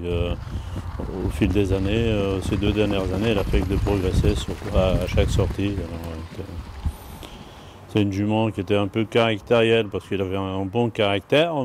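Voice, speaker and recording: A man from Portugal, a native Portuguese speaker working as a horse trainer, giving a plain, measured interview answer. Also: on the low side, a little mellow, slight background noise. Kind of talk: interview reply.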